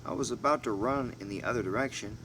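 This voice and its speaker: A man's voice: mid-range voice, fast. A man reading a storybook aloud in an informal way, sometimes stumbling and correcting himself. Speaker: amateur audiobook reader. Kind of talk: storybook narration with asides. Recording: noisy home recording with steady hum.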